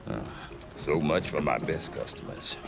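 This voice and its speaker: A man's voice: a bass voice, moderate pace, creaky, over music. A middle-aged man playing a wry, grumbling, talkative frontier trader in a video game. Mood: sardonic, chatty. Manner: wry, talkative, hesitant when choosing words. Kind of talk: video game dialogue acting.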